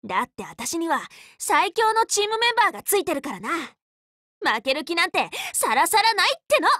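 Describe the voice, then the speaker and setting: Anime game role: teenage magical girl, loyal tomboy leader, bright forceful tone, very lively intonation, dry close studio sound, frank and casual. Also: very high-pitched, brisk, no room sound, exaggerated voice. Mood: confident. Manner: casual, boyish, spirited, frank speech. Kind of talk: anime game character voice lines.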